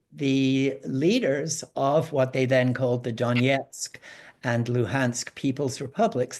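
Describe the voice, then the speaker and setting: Slow, halting man explaining. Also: mid-range voice, darkish tone. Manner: halting, hesitant, frequently repeating words. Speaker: guest analyst on a panel. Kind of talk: informal explanatory panel talk.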